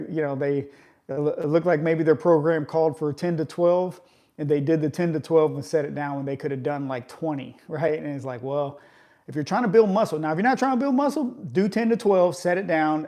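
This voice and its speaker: A man in his forties with a slightly higher voice than most men talks fitness with his live audience. He sounds loose and conversational, like a friendly coach. He keeps checking in with listeners, ending points with a quick "right?". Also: mellow. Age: 40s. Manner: conversational, coaching, often tags remarks with "right?". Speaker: fitness vlogger. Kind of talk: casual live chat with viewers.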